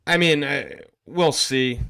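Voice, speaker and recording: A man's voice: fairly high pitch, takes it slowly, gravelly, pushed and bright. A man co-hosting a sports talk show, discussing the game in a casual, conversational way.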